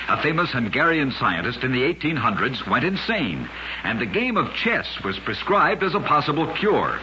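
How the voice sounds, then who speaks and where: A man narrating scripted curious true tales for radio in a measured, dramatic announcer style. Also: mid-range voice, sharp tone. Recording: dull lo-fi radio recording, faint noise.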